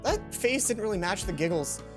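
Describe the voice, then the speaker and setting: A woman's voice: mid-range voice, expressive, normal speed. A woman let's play host reading visual novel text aloud with casual asides, sometimes stumbling and correcting herself. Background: game music.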